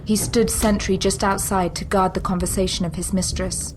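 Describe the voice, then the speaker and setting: A woman's voice: medium pitch, level tone, moderate pace. A woman reading scripted narration for a historical audio drama. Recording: clear music bed under narration.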